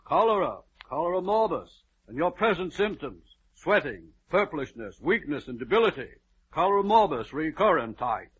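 An actor playing a brisk, fussy, coaxing doctor with emphatic delivery in an old radio drama. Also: male voice, a little high, big pitch swings, a bit bright, full character voice. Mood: brisk, insistent. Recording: muffled, rough vintage radio recording.

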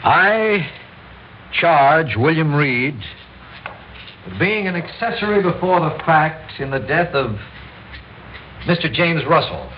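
An actor playing a principled district attorney, speaking formally and earnestly in an old-time radio drama. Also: male voice, a little high, expressive, slow pace, pauses often. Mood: formal, solemn. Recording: dull, noisy old radio recording.

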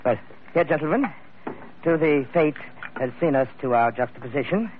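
Man playing a pompous, florid gentleman schemer, tinny old radio. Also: fairly high pitch, lively intonation, normal speed, slight background noise, natural voice. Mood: courtly. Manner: grandiloquent, ornate formal phrasing. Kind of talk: old-time radio drama acting.

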